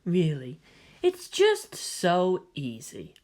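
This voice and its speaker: A performer voicing a smug, soft-spoken inhuman young male schemer in a story reading, silky, condescending and given to lecturing. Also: medium pitch, wildly swooping pitch, extremely slow, exaggerated voice. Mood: musing. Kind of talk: dramatic fan fiction reading.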